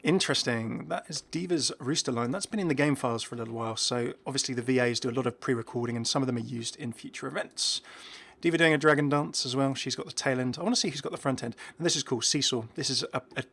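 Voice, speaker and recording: A man's voice: mid-range voice, quick pace, a bit bright, slightly rough. A man hosting a gaming video, talking through game cosmetics with casual, enthusiastic, rambling reactions.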